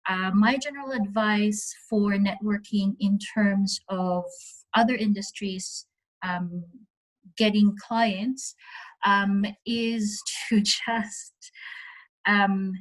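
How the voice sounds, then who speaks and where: A woman speaking as a founder on an online panel, giving measured advice with deliberate, emphatic phrasing. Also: medium pitch, fairly even intonation, poor audio. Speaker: media software founder on a panel.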